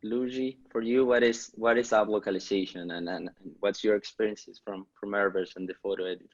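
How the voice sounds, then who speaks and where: Low-voiced moderator on a muffled line. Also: male voice, fairly even intonation, fairly quick. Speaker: webinar moderator. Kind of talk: moderating a panel.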